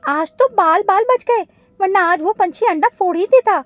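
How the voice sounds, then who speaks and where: A woman's voice: very high voice, normal speed, dry recording, highs cut off, soft background music, voice pushed up. A woman voicing a small, protective female bird in a cartoon story, exclaiming emphatically. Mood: relieved, concerned.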